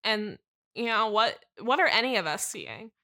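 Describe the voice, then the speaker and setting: A woman's voice: mid-range voice, expressive, moderate pace, sharp tone. A woman playing a quirky, whimsical manic pixie dream girl in an improvised comedy interview, earnest about her airy non sequiturs. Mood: earnest, opinionated. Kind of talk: improvised comic character interview.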